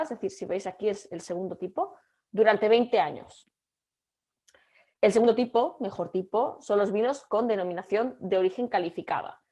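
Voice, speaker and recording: A young Catalan woman with a Catalan Spanish accent, giving an online lecture over a video call and speaking haltingly with frequent fillers and restarts. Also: medium pitch, a little mellow. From Catalonia, Spain. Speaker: guest lecturer.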